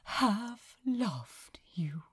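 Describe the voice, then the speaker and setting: A woman voicing an earnest, tearful male angel confessing love in a halting, fervent way, as in an audiobook. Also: medium pitch, sing-song, fairly bright tone, breathy edge, no room sound, clean studio sound, exaggerated voice. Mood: tender, resolute. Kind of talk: emotional audiobook character dialogue.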